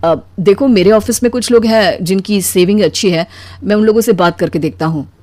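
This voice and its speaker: A woman voicing a weary, put-upon, soft-hearted husband in an animated story, with a plaintive manner. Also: mid-range voice, fairly quick, no room sound, voice pushed down, natural voice. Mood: hesitant.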